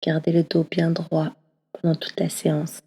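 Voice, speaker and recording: A woman leading a guided yoga nidra session, speaking slowly and softly, often slipping into a half-whisper. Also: low-pitched, monotone, breathy edge. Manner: slow, soft, often half-whispered delivery. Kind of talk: guided meditation. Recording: long reverb as in a hall.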